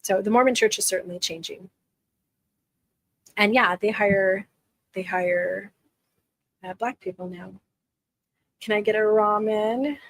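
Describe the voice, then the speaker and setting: A woman in her forties chats frankly with live viewers, often restarting her sentences. Her voice is worn, as if she is losing it, and sits at an ordinary middle pitch. The sound is close and dry, with no room echo. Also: a little slow, long pauses. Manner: candid, conversational, with frequent restarts. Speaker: livestream host. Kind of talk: casual live chat answering viewers.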